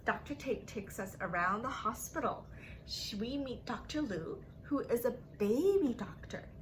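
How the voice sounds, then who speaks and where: A woman reading and teaching for young children as a story-time host, in simple, drawn-out phrases addressed to the kids, on a noisy recording. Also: on the high side, lively intonation. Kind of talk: read-aloud narration and playful teaching to young children.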